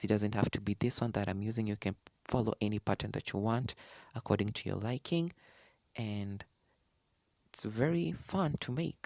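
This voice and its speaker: A man's voice: fairly low pitch, darkish tone. A man giving an easygoing, explanatory craft tutorial in a casual style. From Kenya. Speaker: DIY craft vlogger. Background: background music with guitar.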